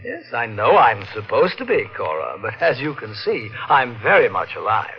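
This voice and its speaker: A man's voice: medium pitch, huge pitch swings, moderate pace. A man playing a sly, mocking husband returned from the dead, menacing in a 1950s radio drama. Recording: dull old 1950s radio broadcast.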